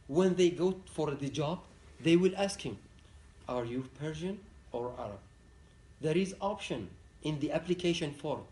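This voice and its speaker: A man from Ahwaz gives a conference lecture as a human rights activist. He speaks in earnest, halting English. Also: on the high side, a little mellow, a little hiss or hum. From Ahwaz, southwestern Iran. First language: Arabic. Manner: earnest, plain-spoken, halting non-native English. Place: university lecture room. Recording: echoey room, picked up from a distance.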